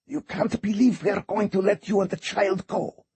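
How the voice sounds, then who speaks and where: A man's voice: high voice, steady tone, normal speed, darkish tone, slightly rough, voice pushed up, exaggerated voice. A male narrator voicing a vicious, sneering male cult leader in an audiobook, full of spitting rage. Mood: hissing.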